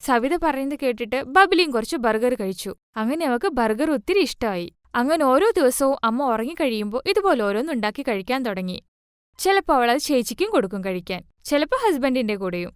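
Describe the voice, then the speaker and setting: Woman narrator, high pitch, children's story delivery, dry studio-like sound. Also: big pitch swings, a little fast, smooth, no room sound.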